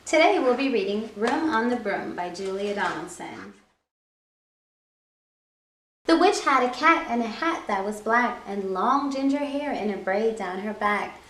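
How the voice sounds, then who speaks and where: A woman hosting a children's storytime, reading a picture book aloud with an expressive, rhythmic rhyming delivery. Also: on the high side, normal speed. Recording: echoey room.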